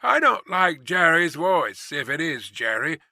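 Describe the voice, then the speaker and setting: A man voicing a gruff, suspicious, blustering mail-coach guard in a storybook reading, barking out challenges. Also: fairly high pitch, very animated, measured pace, sharp tone, no room sound, clean studio sound, pitched above the performer's own voice, heavily acted. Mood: wary threatening.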